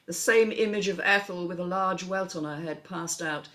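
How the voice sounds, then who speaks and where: Low-voiced woman narrating a screenplay. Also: moderate pace, a bit bright. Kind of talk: scripted narration, reading stage directions.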